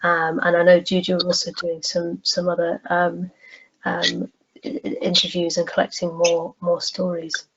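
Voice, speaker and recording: A woman's voice: lowish voice, monotone, measured pace. A woman presenting informally on an online call, friendly and inviting, with hesitant phrasing. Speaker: guest presenter. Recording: dull, noisy online call with clicks.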